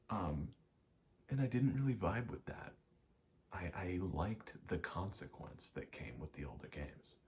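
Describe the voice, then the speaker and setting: A soft-spoken man rambling casually in ASMR style, meandering and murmuring, often dropping toward a whisper. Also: deep voice. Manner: meandering, self-correcting, casually sweary. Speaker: video maker rambling over gameplay. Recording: faint background noise.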